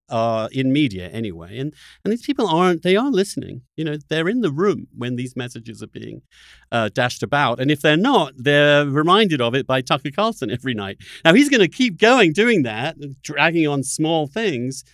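Animated man with a higher voice, dry sound. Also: no echo. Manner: emphatic, halting with repeated restarts. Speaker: podcast host in conversation with a guest. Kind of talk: casual political discussion.

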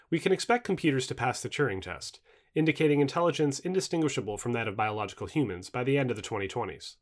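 A man reading non-fiction in a scripted audiobook style, with formal, clearly enunciated phrasing. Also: medium pitch. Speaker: reader of a non-fiction book.

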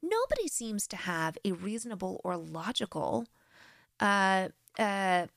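An American woman hosting a faith podcast, talking directly and earnestly with lively emphasis. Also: mid-range voice, a little slow, creaky, dry recording, studio quality. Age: adult with grown-past-baby children. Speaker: podcast host. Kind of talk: solo faith podcast talk.

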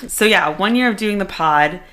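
A woman's voice: mid-range voice, moderate pace, fairly bright tone. A woman co-hosting a casual podcast, playful and teasing, with a stuffed-up, congested voice. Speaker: podcast co-host. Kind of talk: casual chat.

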